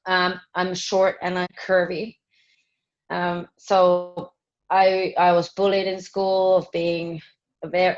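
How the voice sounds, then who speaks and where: A woman in her thirties from Finland, answering interview questions casually, with many pauses, ums and restarts. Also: fairly low pitch, dull audio. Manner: hesitant, many ums and restarts, laughs often. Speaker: fitness trainer interviewee.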